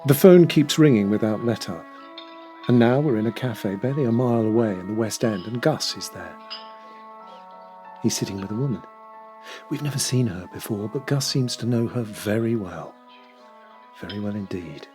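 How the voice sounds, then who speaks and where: A man's voice: on the low side, moderate pace, long pauses, warm tone, faint music behind, lightly acted. A man delivering scripted narration for an audio drama.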